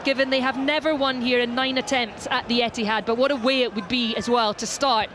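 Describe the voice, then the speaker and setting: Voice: medium pitch, fairly even intonation, a little fast, fairly bright tone. A football commentator describing live match play in a radio sports commentary style, with outdoor stadium ambience behind. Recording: clear ambient noise behind.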